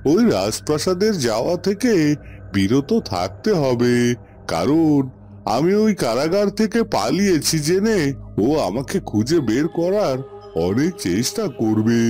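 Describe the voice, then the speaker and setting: A man voicing a loyal, cautious royal astrologer counselling a prince in a fairy-tale cartoon, earnest and deliberate. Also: on the high side, darkish tone.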